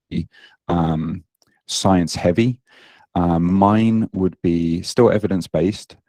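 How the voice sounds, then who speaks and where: A British man with a deep, mellow voice talks in an easy, self-deprecating way and often stops on "um" and "uh". The sound is close and dry, with no room echo. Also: vocal fry. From Britain. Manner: self-deprecating, many ums and uhs. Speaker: online fitness coach, guest. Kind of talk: casual podcast interview.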